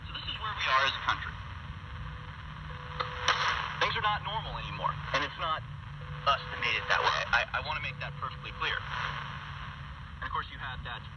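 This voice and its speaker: Guy doing worked-up political radio commentary, kinda high voice, dramatic pauses, coming thru a shortwave set w/ tons of noise. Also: muffled recording. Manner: emphatic, alarmist, with dramatic pauses. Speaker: political talk show host. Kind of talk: impassioned political commentary, reading articles aloud. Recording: shortwave radio playback in noisy outdoors, distant. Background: loud outdoor ambient noise, vehicles.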